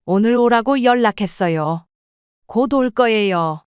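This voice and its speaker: A woman voicing a calm, firm mother in her seventies in an audio drama, speaking plainly and steadily. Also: fairly high pitch, measured pace, clean tone, dry recording, studio quality, lightly acted. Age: 70s.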